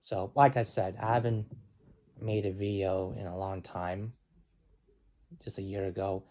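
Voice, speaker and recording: An eighteen-year-old man talking in a rambling vlog, halting and restarting his sentences often. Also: low voice, little pitch movement, dark tone. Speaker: channel owner. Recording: dull lo-fi with faint noise.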